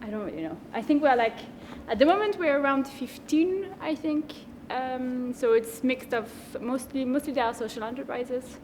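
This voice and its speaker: A woman with a slightly high voice giving a casual tour. She often sounds unsure and trails off mid-sentence. Steady background noise is plainly heard behind her. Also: normal speed, hardly any pauses. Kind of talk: casual chat. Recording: noisy background.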